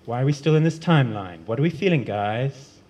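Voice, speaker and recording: A calm wellness-guru type, as a man puts them on, coaching in a soft, soothing way. The voice stays gentle and unhurried. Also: medium pitch, lo-fi sound, lightly acted.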